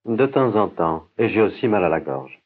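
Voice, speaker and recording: A man playing a polite patient with flu in a scripted language-course dialogue, speaking slowly and clearly, each line said twice. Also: on the low side, lively intonation. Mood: tired. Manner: slow, careful, clear diction. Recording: tinny, narrow-band old course recording.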